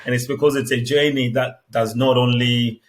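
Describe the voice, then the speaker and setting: Man in his 30s, from Congo, interview guest, measured and reflective, on a headset mic. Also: mid-range voice, flat delivery. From Democratic Republic of the Congo. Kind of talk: telling a life story in an interview.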